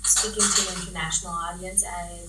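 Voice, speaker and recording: A Swiss woman wrestler, a native Swiss German speaker, chatting in an interview with an outgoing, measured manner full of small pauses. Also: fairly low pitch. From Switzerland. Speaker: professional wrestler, guest. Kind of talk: casual interview chat. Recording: distant mic, echoey room with steady noise.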